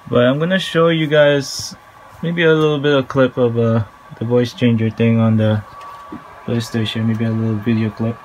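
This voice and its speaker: A man giving a casual hands-on tutorial in a halting, informal way, recorded on a handheld phone at home. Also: medium pitch, steady tone, darkish tone, slight background noise, rough recording. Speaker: tutorial presenter. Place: small room at home, indoors.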